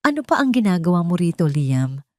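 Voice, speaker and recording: A woman's voice: lowish voice, sing-song, fairly quick, dry recording, clean studio sound. A woman voicing a cold, domineering wealthy older matriarch in an audio drama, stern and commanding, switching between languages. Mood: cold anger.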